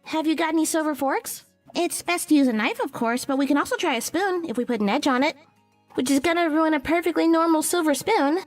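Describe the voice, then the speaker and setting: Actress as young female monster hunter for a game: very high voice, brisk, teasing, blunt, light music underneath. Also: recorded from a distance, natural voice. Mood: brisk, matter-of-fact. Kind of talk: visual novel game voice acting.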